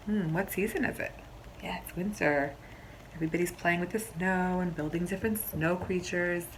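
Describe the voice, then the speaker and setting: A woman teacher reading a picture book aloud to young children, expressively, pausing to explain simply and ask questions. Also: fairly low pitch, noisy background. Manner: expressive storybook delivery, explains simply, pauses to question listeners.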